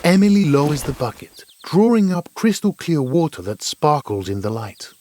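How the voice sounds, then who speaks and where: A man's voice: a little high, expressive, normal speed, darkish tone, a little hiss or hum. A man narrating a scripted fairy tale in an earnest, dramatic storytelling manner.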